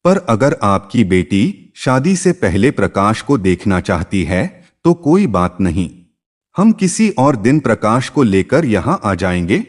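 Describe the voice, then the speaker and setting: A man's voice: on the low side, very animated, measured pace. A man voicing a caring middle-aged mother who coaxes and reassures in a narrated moral story. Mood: polite, assuring. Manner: coaxing, reassuring.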